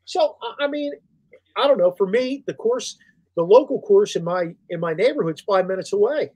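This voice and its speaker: High-voiced middle-aged man chatting casually. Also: expressive, moderate pace, a little mellow, poor audio. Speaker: sports podcast host. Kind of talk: casual chat. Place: indoor room on a video call.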